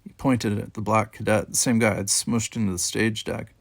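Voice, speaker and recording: A man reading a first-person novel aloud as an audiobook, with expressive storytelling delivery. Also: on the low side, moderate pace, vocal fry, no echo. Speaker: amateur audiobook reader. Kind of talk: expressive scripted first-person fiction narration.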